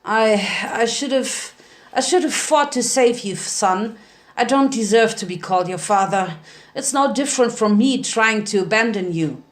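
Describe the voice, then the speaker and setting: A woman voicing a weary, loving male pony father, halting and earnest, in a story reading. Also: fairly high pitch, animated, close to an everyday voice. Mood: guilt-ridden. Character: lanky stallion pony father, guilt-ridden, weary, loving.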